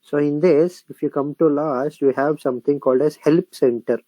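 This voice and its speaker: A man with an Indian English accent talking through a screen-recorded software tutorial. He explains each step, repeats phrases while he types, and keeps checking in with "correct?" and "okay?". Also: a little high, fairly even intonation, normal speed, no echo. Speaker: software instructor.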